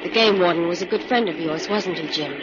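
A woman's voice: fairly low pitch, brisk, noisy background, close to an everyday voice. Woman acting an earnest radio assistant, muffled recording. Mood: curious, concerned. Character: earnest, inquisitive female crime-fighter's assistant Fern. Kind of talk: old-time radio drama acting.

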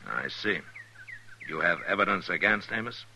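An actor playing a weary, resolute US marshal in a 1950s radio western, with an American accent and terse, authoritative replies. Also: male voice, low-pitched, animated, moderate pace, bright, forceful tone, full character voice. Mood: measured, probing. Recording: old radio recording with faint noise.

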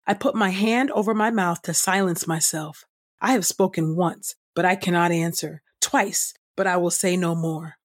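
A woman host reading scripture aloud from a script in a measured, solemn, clearly enunciated way. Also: lowish voice, steady tone. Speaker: podcast host and scripture reader. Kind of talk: scripted audio Bible reading.

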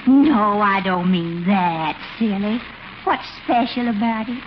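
Coquettish, cooing sweetheart for 1940s radio comedy; very unhurried, high delivery on a dull recording. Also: female voice, lively intonation, a little hiss or hum. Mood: coyly chiding. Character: coy, flattering sweetheart being courted on a porch.

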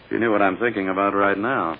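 A man's voice: on the low side, steady tone, fast talker, natural voice. An actor playing a suspicious, smugly menacing male prosecutor in an old radio drama, pointed and insinuating in his talk. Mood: smugly needling. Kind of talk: 1940s-50s radio drama acting. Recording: tinny, hissy old radio recording.

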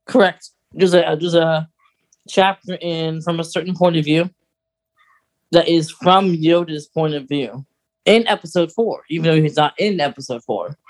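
Mid-pitched casual podcast co-host. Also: moderate pace, low-quality recording. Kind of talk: casual chat about Star Wars lore.

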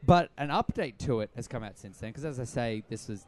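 A man co-hosting a podcast, chatting casually through news in loose, halting phrases with some swearing. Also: mid-range voice, lively intonation. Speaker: podcast co-host.

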